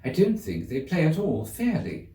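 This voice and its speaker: A man's voice: fairly high pitch, wildly swooping pitch, normal speed, warm tone, pitched above the performer's own voice. A man voicing an earnest, prim young girl in a storybook, with a plaintive, complaining manner. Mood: plaintive complaint. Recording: roomy echo, distant mic.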